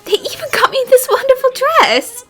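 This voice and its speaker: A woman voicing a perky, food-loving young lady detective in a cartoon fan dub, chirpy and excitable. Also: very high voice, normal speed, faint music behind, full character voice. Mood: cheerful.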